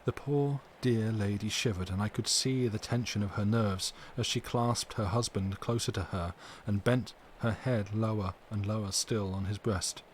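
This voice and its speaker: A man giving a measured, literary, dramatic reading of a story as an audiobook narrator. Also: on the low side. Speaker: audiobook reader, streamer. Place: live stream from indoors. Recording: steady background noise.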